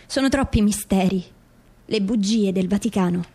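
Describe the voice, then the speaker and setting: A woman's voice: medium pitch, normal speed, warm tone, slightly rough. A woman playing a grieving, embittered mother who speaks earnestly and accusingly in a radio play. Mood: bitter grief.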